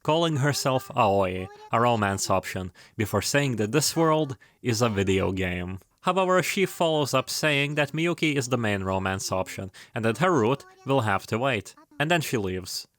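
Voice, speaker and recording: A man's voice: medium pitch, lively intonation, moderate pace, hardly any pauses, a bit bright. A man narrating in a casual, scripted video style, with wry, irreverent, slangy joking asides. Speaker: video essayist.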